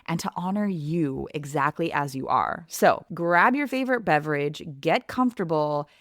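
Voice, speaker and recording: A woman's voice: low-pitched, lively intonation, normal speed, no room sound, studio quality. A woman in her thirties hosting her podcast, talking earnestly and reflectively straight to the listener. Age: 30s. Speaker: podcast host and coach. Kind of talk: heartfelt podcast monologue.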